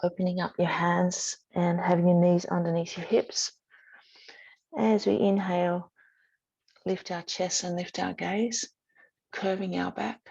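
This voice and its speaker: A woman teaches yoga in a soothing voice that moves very slowly. She gives short, gentle cues and leaves space between them. Her tone stays flat and level, and the recording is slightly dull and lo-fi. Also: on the low side, gravelly, husky. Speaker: yoga instructor. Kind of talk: guided yoga and relaxation instruction.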